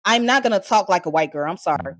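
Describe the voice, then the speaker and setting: A woman's voice: lowish voice, expressive, quick pace, a bit bright. A woman streamer delivering a heated, profane and mocking rant straight to her viewers, with scornful laughs. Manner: confrontational, addressing viewers directly. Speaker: online streamer. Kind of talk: ranting live commentary.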